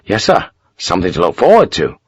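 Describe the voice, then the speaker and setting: A man's voice: low voice, wildly swooping pitch, brisk, slightly rough, dry recording, lo-fi sound, exaggerated voice. A man voicing a blunt soldier who answers in terse, dryly sardonic replies. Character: blunt, dry-witted male soldier. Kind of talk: audiobook character voice.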